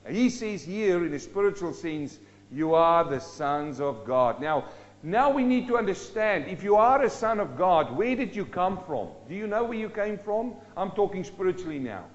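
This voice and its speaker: A man from South Africa preaching a sermon, swinging between loud rising questions and low, slow emphatic drops. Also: sing-song, dull audio. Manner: dynamic, rising and dropping sharply for emphasis.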